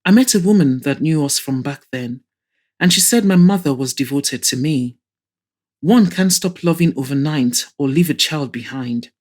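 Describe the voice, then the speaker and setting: A woman's voice: low-pitched, animated, moderate pace, a little mellow. A woman reading the part of an anxious, hopeful, chatty young woman in an audio drama. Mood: sad, anxious.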